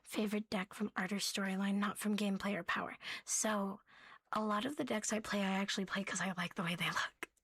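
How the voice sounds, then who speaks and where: A woman with a soft, airy voice rambles through an ASMR show-and-tell. She often drops toward a whisper and keeps a cute, playful catgirl manner as she chats to viewers close to the mic. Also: mid-range voice, fairly even intonation, a little fast, breathy edge. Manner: playful, cutesy catgirl persona, talking to viewers. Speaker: ASMR host.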